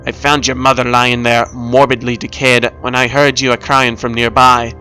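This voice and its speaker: A man's voice: mid-range voice, flat delivery, normal speed, pushed and bright, soft background music, lightly acted. A man voicing a sorrowful old crab father with old sailor phrasing in a cartoon story.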